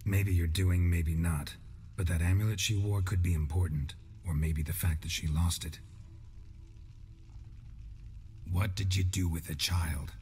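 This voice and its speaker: An actor playing a stoic, cynical monster hunter in a video game, blunt and terse with a deadpan delivery. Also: male voice, deep voice, moderate pace, soft background music. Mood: cold. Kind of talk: dramatic video game acting.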